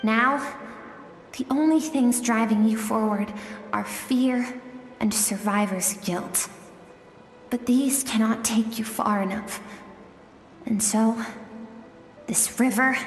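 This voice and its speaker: A woman voicing an earnest, gentle young girl in a video game, speaking slowly and tenderly. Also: mid-range voice, soft background music, lightly acted. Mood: earnest, insistent. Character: earnest, gentle young girl, a warrior's lost childhood self. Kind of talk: scripted video game voice acting. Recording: echoey hall-like reverb.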